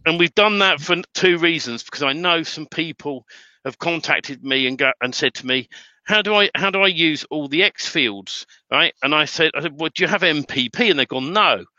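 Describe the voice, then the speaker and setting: A middle-aged British man with a very bright, forceful voice, chatty and prone to repeating words as he gets going. The audio is dry and slightly dull, lo-fi. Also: fairly high pitch, lively intonation, normal speed, dry recording. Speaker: webinar guest, template trainer. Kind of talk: casual chat.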